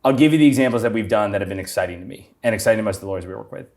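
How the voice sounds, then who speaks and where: A man who is a podcast guest, eagerly explaining his business in quick bursts. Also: on the low side, darkish tone. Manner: quick, eager explanations with many short agreements. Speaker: podcast guest, company founder.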